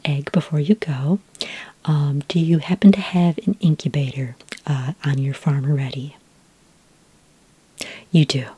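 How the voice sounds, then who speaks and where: Helpful fantasy dragonmaster played by a woman, soft breathy whisper, hesitant ASMR delivery, close and dry recording. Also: low-pitched, no echo. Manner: hesitant, unhurried explaining, frequent ums. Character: patient, helpful fantasy dragon ranch expert. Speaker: ASMR roleplayer.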